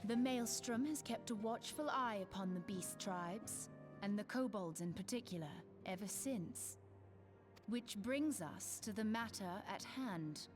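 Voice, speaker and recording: A woman's voice: mid-range voice, a little slow, lightly acted. A woman voicing a serious, articulate adviser in a fantasy video game, with a dry and formal delivery over music. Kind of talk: scripted fantasy game dialogue.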